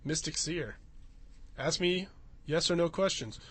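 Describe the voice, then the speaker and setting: High-voiced joking male co-host. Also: a little slow, dry recording. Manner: playful, mock-serious. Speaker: co-host of a comedy chat show. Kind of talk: casual, joking banter.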